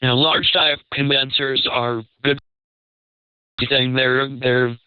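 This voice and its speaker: A man giving casual advice as a panelist, speaking in a measured, considered way. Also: little pitch movement, smooth, dry recording. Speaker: panelist, microphone expert. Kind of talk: casual advisory talk. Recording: dull low-fidelity radio-like sound.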